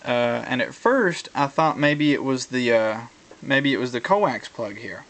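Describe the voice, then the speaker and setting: A man talking casually through a hands-on tutorial, explaining things in a halting way as he thinks aloud. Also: mid-range voice, expressive, a bit bright. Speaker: hobbyist video presenter.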